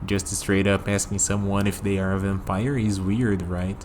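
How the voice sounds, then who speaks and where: A man playing a curious, skeptical young human in an ASMR vampire roleplay, talking hesitantly to the listener and pausing for replies, with steady noise behind him. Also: low-pitched, lightly acted. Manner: hesitant, conversational, leaves pauses for unheard replies.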